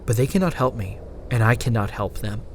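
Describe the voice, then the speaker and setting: A man playing a haunted, dying survivor who gravely narrates his journal in a horror audio drama, deliberate and intense. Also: mid-range voice, expressive, a little hiss or hum, natural voice. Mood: grim, resigned.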